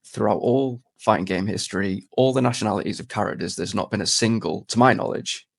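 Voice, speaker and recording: A man's voice: fairly low pitch, fairly quick, creaky, breathy edge, dry recording, clean studio sound. A man hosting a casual, chatty interview. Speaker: host, interviewer.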